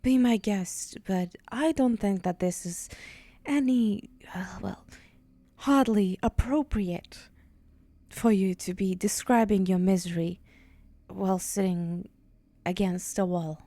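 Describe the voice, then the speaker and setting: A woman voicing a haughty, teasing and menacing demon in an ASMR roleplay, condescending and deliberate, with breathy whispered moments. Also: mid-range voice, mellow, no echo, close to an everyday voice. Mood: disdainful, impatient.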